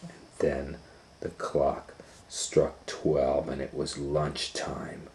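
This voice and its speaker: A man reading a children's storybook aloud as host, in an animated storytelling style. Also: very deep voice, very slow, gravelly, background noise. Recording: roomy echo.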